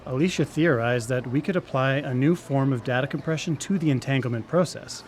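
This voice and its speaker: A man's voice: mid-range voice, fairly quick, close to an everyday voice. Man acting an earnest engineer, noisy background. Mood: earnest, speculative. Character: earnest, hesitant engineer explaining lab research. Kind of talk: live radio drama acting.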